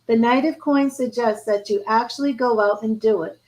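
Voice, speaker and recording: Female tarot reader, hoarse and fading voice, fairly high, mixes read-aloud card text with loose chat. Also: moderate pace, darkish tone, rough recording. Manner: reads card meanings aloud, then chats and restarts. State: hoarse, losing her voice. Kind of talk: live tarot reading, partly read aloud.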